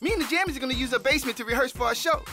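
A woman's voice: high voice, racing, over loud music, natural voice. A woman voicing an eager, upbeat tween boy who talks in slang. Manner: excited, slangy.

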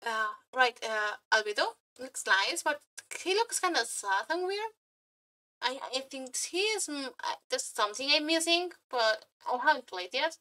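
A woman streamer chatting casually to viewers in a gushing, flirty fangirl manner, halting and repeating her words as she goes. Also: sits high, expressive, pushed and bright, low-quality recording. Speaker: aspiring VTuber and streamer. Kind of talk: casual reaction chat to viewers.

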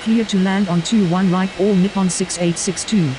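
A woman's voice: mid-range voice, fairly even intonation, normal speed, natural voice. A woman playing a matter-of-fact aviation radio voice in a simulation game, delivering clipped, formulaic calls. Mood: businesslike. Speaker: air traffic control and pilot radio voice. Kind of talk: scripted aviation radio phraseology. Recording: hissy, clearly noisy radio-style audio.

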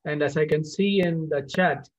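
A man's voice: a little high, moderate pace. A middle-aged man hosting an online conference over a video call, announcing with fillers. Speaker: event host. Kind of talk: webinar moderating. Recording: dull video call audio.

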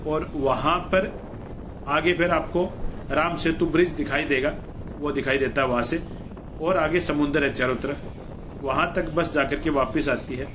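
A man's voice: on the high side, measured pace. A man narrating his travel vlog from a moving bus, talking loosely and informatively with restarts as he explains the place. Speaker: travel vlogger. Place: moving bus on a coastal road. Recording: noisy bus ride.

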